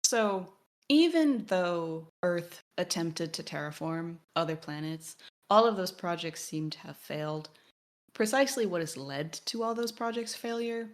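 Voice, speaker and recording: A woman's voice: lowish voice, lively intonation, a little slow. A woman co-hosting a podcast, discussing a game analytically in a thoughtful, academic way. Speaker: podcast co-host.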